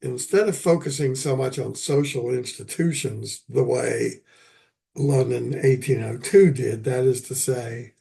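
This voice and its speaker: An older man hosting a poetry lecture, his voice hoarse and raspy after an illness, talking haltingly with many pauses and fillers. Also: medium pitch, mellow.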